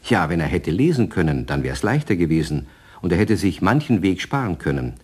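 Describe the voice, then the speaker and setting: Male narrator for children's radio drama: deep voice, lively melody, colloquial storytelling. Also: brisk, breathy edge.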